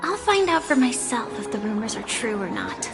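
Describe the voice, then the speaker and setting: A wry young woman adventurer mutters her thoughts in a high, light voice. Game music runs clearly underneath. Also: big pitch swings, moderate pace. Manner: wry, dry inner monologue. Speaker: player character. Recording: game audio with music behind.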